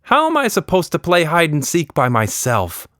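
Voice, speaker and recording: A man's voice: on the high side, wildly swooping pitch, moderate pace, fairly bright tone, no room sound, clean studio sound, voice pushed up. Man playing an earnest, headstrong little girl. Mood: upset.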